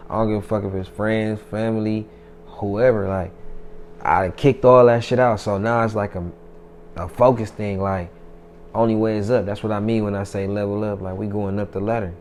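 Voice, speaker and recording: A man's voice: fairly low pitch, fairly even intonation, moderate pace, a little mellow, slight background noise. A man in his twenties, a rapper, talking loosely and boastfully in a casual interview, with plenty of profanity. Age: 20s. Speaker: rapper guest.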